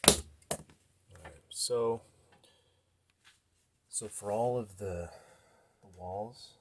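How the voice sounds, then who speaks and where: A man's voice: lowish voice, very slow, vocal fry. A man in his thirties casually narrating a DIY build in a garage workshop, thinking aloud informally as he works. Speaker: DIY maker, host. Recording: noisy workshop recording with clicks.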